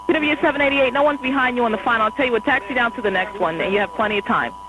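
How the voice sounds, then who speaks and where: A woman air traffic controller in an airport tower, giving quick, polite instructions to pilots over the radio. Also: a little high, music in the background. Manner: brisk, polite and apologetic. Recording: noisy radio transmission with hum.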